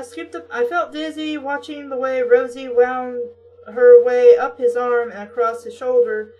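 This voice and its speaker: A high-voiced woman reads a story aloud and keeps stepping out for sardonic, sweary remarks. Her delivery is slow and casual, recorded a little away from the microphone. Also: a little mellow, recorded from a distance, low-quality recording. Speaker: reader and commentator. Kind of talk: story reading with sarcastic casual commentary.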